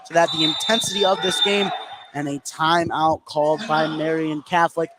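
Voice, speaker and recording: An American man doing live basketball play-by-play, getting excited and stretching his words on big plays. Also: fairly high pitch, normal speed. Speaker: sports play-by-play announcer. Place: indoor high school gym. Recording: clear gym noise behind the voice. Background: gymnasium ambience.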